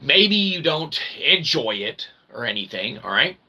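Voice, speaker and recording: A man's voice: medium pitch, sing-song, a little slow, sharp tone. A man giving a rambling, tongue-in-cheek lecture in wordy mock-academic phrasing, checking in often with small tags. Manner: wordy mock-academic phrasing with frequent "all right, okay" check-ins. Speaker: online philosophy show host.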